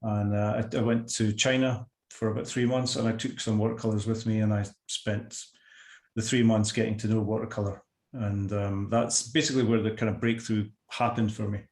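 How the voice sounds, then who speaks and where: A man in his sixties from Scotland with a Scottish accent, a guest artist chatting over a video call in an unhurried, self-deprecating way with many restarts. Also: lowish voice, level tone, a little mellow, reverberant. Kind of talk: casual interview chat.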